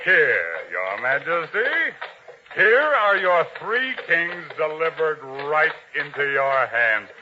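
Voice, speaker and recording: An actor playing an ambitious, menacing palace officer in an old-time radio drama, imperious and interrogating. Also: male voice, fairly high pitch, very animated, slow pace, sharp tone, slight background noise, heavily acted. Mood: gloating ambition. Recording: dull old radio recording.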